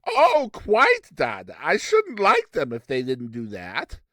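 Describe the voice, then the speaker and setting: A man voicing a small, polite, eager boy in a bright, childlike way for a storybook reading. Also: on the high side, huge pitch swings, slow pace, no echo, clean studio sound, full character voice. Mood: matter-of-fact, proud.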